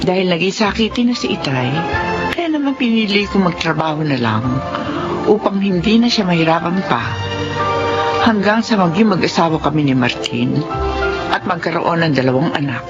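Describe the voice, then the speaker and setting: A woman's voice: fairly low pitch, lively intonation, moderate pace, lightly acted. An actress playing a young mother in a radio drama, speaking in an emotional, pleading, tearful way. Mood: reflective. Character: anxious young mother and wife. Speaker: mother narrating her own story. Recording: dull lo-fi radio recording with music. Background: music.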